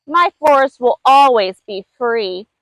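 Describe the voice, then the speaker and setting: A woman's voice: a little high, expressive, unhurried, fairly bright tone, no echo, rough recording. A woman voicing a plucky, chirpy little male lemur in a children's storybook, full of exclamation. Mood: defiant.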